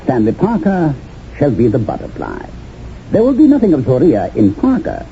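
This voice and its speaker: An actor playing a commanding, persuasive mastermind in a radio drama, speaking in a theatrical, oracular and insistent way. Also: male voice, mid-range voice, huge pitch swings, normal speed, gravelly, mellow. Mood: commanding, prophetic. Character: commanding, persuasive mastermind of a shadowy identity-changing company. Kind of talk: 1970s radio drama acting. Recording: tinny, band-limited old radio, faint noise.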